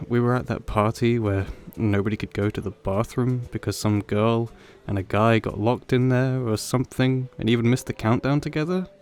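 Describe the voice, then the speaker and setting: Guy acting as a shy rambly young man, old friend at a party, soft mellow voice, kinda self-deprecating, audio rp with faint music behind. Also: medium pitch, lightly acted. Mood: friendly, awkward. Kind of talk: audio roleplay, conversational acting addressed to listener.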